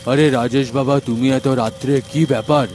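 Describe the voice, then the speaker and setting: A man voicing an old male holy man who gives measured, knowing advice in a cartoon dub. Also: mid-range voice, fairly even intonation, darkish tone, faint music behind, natural voice. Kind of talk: cartoon dubbing.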